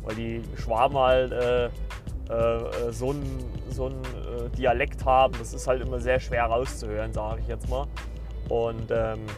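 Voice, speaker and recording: A man's voice: medium pitch, level tone, unhurried, over music. A man in his thirties from Saxony, hosting a podcast in a casual, meandering way full of filler words and restarts. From Saxony, Germany. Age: 30s. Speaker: podcast host. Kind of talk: casual rambling monologue.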